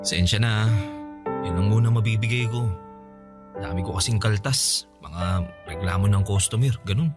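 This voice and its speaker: A man's voice: fairly low pitch, moderate pace, long pauses, close to an everyday voice. Man acting a weary, placating young husband. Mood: sheepish. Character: tired, apologetic young husband, defensive of his mother. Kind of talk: radio drama acting. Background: soft background music.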